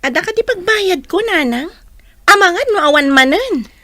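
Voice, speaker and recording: An actress playing an eager young daughter in casual audio drama dialogue. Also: female voice, extremely high pitch, lively intonation, moderate pace, sharp tone, no echo, exaggerated voice. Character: eager young daughter talking to her mother.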